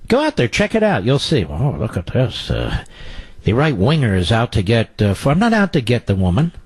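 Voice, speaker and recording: A man's voice: mid-range voice, huge pitch swings, normal speed, husky. A male radio talk show host delivering a combative, sarcastic political monologue and taking calls.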